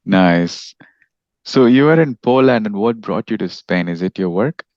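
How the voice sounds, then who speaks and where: A young man from India hosts a casual podcast interview. His voice sits low, and he talks in a friendly way, often stopping and restarting. The recording is dry and a little muffled. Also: animated, unhurried, a little mellow, dry recording. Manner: friendly, with frequent restarts and fillers. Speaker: podcast host.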